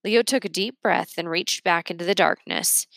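A woman narrating a children's book aloud in an expressive read-aloud style. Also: lowish voice, moderate pace, vocal fry, dry recording.

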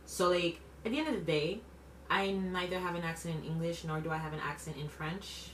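A woman's voice: lowish voice, steady tone, a little fast, a bit bright, slight background noise. A woman in her late twenties from Canada co-hosting a podcast, talking bluntly and emphatically in casual conversation, with lots of "like" fillers. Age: late 20s. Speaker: podcast co-host. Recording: roomy room sound, distant mic.

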